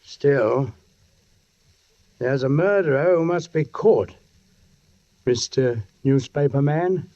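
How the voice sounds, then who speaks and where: An actor playing a brooding, watchful London police sergeant who narrates in 1940s radio drama style. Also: male voice, mid-range voice, slow pace, long pauses, background noise, natural voice. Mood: stern, suspicious. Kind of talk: 1940s radio acting, first-person narration. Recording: dull 1940s radio recording, music behind.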